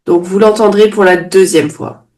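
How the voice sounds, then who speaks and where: A woman interpreting a sermon phrase by phrase into French. She restates promptly after each pause and now and then corrects her wording mid-line. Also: mid-range voice, steady tone, darkish tone. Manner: quick restating, occasional self-corrections.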